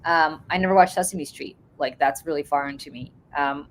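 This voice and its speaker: A woman's voice: low voice, normal speed, a little hiss or hum. A woman in her forties, originally from Russia, chatting casually as a podcast host and laughing through her stories. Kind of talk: casual chat.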